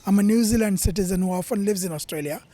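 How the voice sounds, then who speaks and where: A man's voice: high-pitched, fast, mellow. A man from India who travels widely, answering a street interview in a wry, storytelling way.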